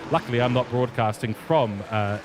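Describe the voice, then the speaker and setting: British male race commentator, chatty live calls over clear engine noise. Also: mid-range voice, normal speed. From England. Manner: chatty, reads viewer chat aloud, many fillers. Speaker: sim racing commentator.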